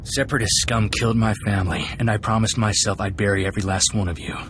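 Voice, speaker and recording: An actor playing an earnest, vengeful companion fighter in a video game, plainspoken and firm. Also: male voice, lowish voice, fairly even intonation, fast, a bit bright, slight background noise, close to an everyday voice. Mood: grim resolve.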